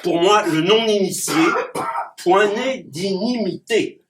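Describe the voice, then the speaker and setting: High-voiced man reciting comic verse. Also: slow. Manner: playful wordplay, chuckles at his own puns. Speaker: clown and poet. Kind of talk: reciting comic rhyming poems to a small gathering. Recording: distant mic with background noise.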